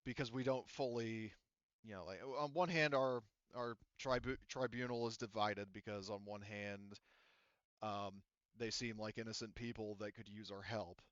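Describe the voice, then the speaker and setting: A man's voice: fairly low pitch, steady tone, normal speed, fairly bright tone, clean tone, no room sound, dull audio. A man serving as game master, relaying a character's words to the players in a hesitant, filler-heavy way. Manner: hesitant, filler-heavy, says "you know" and "like" often.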